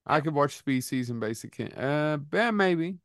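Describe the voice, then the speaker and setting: A man's voice: fairly high pitch, animated, normal speed, fairly bright tone, clear, resonant tone, no echo. Wisecracking man, dry close sound. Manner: sarcastic, wisecracking. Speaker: podcast co-host. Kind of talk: casual joking banter.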